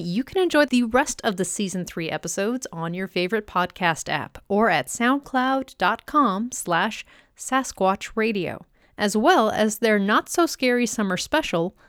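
A woman's voice: medium pitch, clean tone, dry recording, clean studio sound. A woman podcast host reading a scripted introduction to a show.

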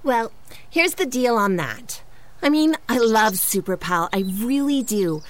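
A woman's voice: fairly high pitch, animated, a little slow. A woman playing an offhand, exasperated and slangy character chatting in a comedic audio drama mock interview. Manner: slangy, casual, eye-rolling. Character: offhand, exasperated chatty female acquaintance of a superhero.

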